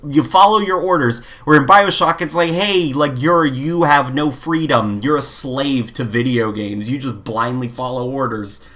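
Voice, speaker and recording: Male co-host for a casual gaming podcast: mid-pitched, informal, opinionated, wandering phrasing with lots of "like". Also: a bit bright. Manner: informal, opinionated, rambling with frequent "like".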